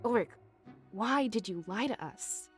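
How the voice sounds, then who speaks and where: A woman's voice: medium pitch, lively intonation, a little slow, soft background music, natural voice. A woman playing a doubtful, urgent female adventurer in a fantasy video game. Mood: grave.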